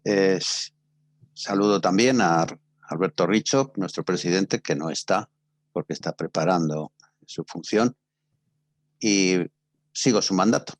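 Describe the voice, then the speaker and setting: An older man with a Castilian Spanish accent speaks in a low-pitched voice with very little room sound. He lectures like a teacher, halting between short phrases as he explains things. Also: no room sound. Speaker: teacher giving a radio theatre workshop. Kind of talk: introductory lecture.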